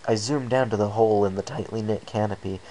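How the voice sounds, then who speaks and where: A man with a low, creaky voice reading a scripted first-person adventure story as narrator. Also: steady tone, fast, dark tone, low-quality recording. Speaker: amateur story reader. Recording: faint background hiss.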